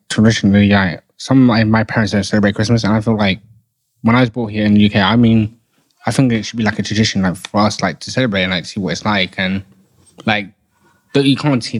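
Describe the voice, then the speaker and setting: A man's voice: lowish voice, steady tone. A nineteen-year-old man from the UK, a podcast guest in a casual group discussion, speaking hesitantly and leaning heavily on "like". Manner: hesitant, halting, leans heavily on "like".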